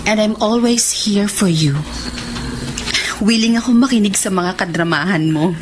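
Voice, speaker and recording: An actress playing a supportive, teasing young best friend in a radio drama. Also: female voice, medium pitch, a little slow, natural voice. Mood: sympathetic. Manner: teasing, sympathetic. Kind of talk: Filipino radio drama acting. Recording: noisy radio drama recording.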